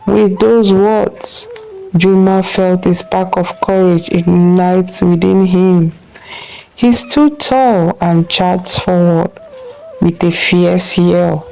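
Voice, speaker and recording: A woman narrating a scripted folktale as a storyteller, in measured phrases with deliberate pauses. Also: on the low side, fairly even intonation, vocal fry, dark tone, poor audio.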